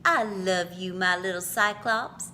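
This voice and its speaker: A woman voicing a tender, reassuring mother in a storybook, speaking in an affectionate, drawn-out sing-song. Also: fairly low pitch, bright, forceful tone, soft background music, voice pushed down. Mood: adoring. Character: doting, tender, reassuring mother of a small child.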